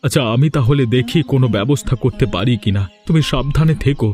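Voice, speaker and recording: A man's voice: mid-range voice, fast, a little mellow, lightly acted. A man voicing a devoted, worried village father in a melodramatic cartoon, earnest and reassuring. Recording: music behind the voice.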